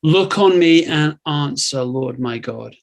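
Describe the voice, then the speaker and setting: A man giving a solemn, gentle and measured reading of a Bible psalm. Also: a little high, lively intonation. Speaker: scripture reader.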